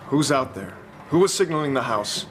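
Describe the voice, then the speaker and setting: Young baronet, blunt, demanding, very expressive, radio play, noisy ambience. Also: male voice, mid-range voice, normal speed. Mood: angry. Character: hot-headed young male baronet, frightened yet commanding. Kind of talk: radio drama acting.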